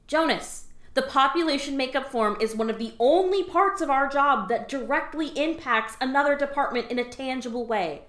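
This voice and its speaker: A woman's voice: high-pitched, normal speed, hardly any pauses, a bit bright. A woman playing a sarcastic, weary alien office worker who scolds and trains her lazy new coworker in a comedic audio drama. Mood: exasperated. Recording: roomy echo.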